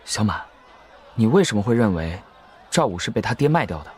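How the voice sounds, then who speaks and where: A man's voice: on the low side, big pitch swings, a little fast, darkish tone, slightly rough. A man playing a wary young cultivator who leads a group, in a Chinese audio drama. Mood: gentle, questioning. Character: wary young male cultivator, quietly troubled group leader.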